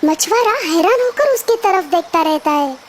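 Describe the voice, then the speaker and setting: A woman's voice: very high voice, lively intonation, normal speed, a little hiss or hum. A woman narrating a scripted children's story. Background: music.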